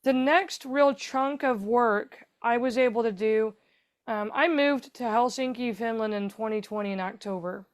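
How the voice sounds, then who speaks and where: A woman's voice: fairly high pitch, a little slow. A woman guest on a podcast interview, telling her story in a chatty, rambling way with lots of fillers. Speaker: guest, foundation founder.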